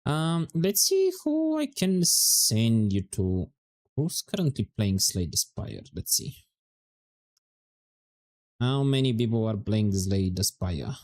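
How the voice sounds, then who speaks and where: Slow-talking male streamer with a scratchy throat. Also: mid-range voice. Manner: relaxed, musing aloud, drawn-out words. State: voice going hoarse, scratchy throat. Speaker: game streamer. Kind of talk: casual livestream chat while gaming.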